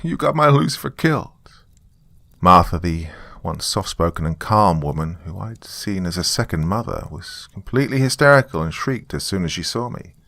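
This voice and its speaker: Male narrator for scripted horror fiction: low register, very dry recording, dramatic first-person read. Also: normal speed, hardly any pauses, a bit bright, no echo.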